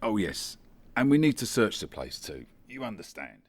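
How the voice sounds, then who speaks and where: Comedy radio play: detective inspector played by a man, low voice, varied intonation, matter-of-fact. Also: big pitch swings, moderate pace, husky. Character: male police detective inspector, matter-of-fact, faintly apologetic.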